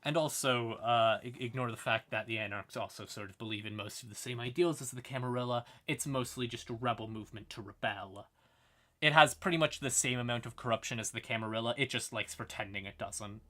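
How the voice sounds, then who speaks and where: A man giving an informal livestream explainer on fantasy lore, halting and wry, with casual asides to his chat. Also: medium pitch, pushed and bright.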